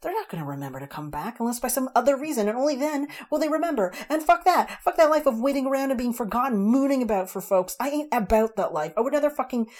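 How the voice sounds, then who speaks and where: Read by a woman with a low, husky voice, a furious half-fairy warrior woman, cursing and shouting her rage. Her husky voice climbs high and loud as she rants.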